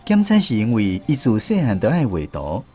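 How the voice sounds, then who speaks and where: A man narrates a radio drama in an expressive storyteller's manner. His mid-range voice moves up and down a great deal and carries a Taiwanese accent. Music plays clearly behind him. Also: mid-range voice, brisk.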